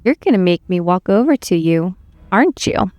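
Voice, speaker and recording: An actress playing a menacing, wryly calm captive princess in a video game, taunting and composed. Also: female voice, fairly low pitch, normal speed, no room sound, close to an everyday voice. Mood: coolly threatening.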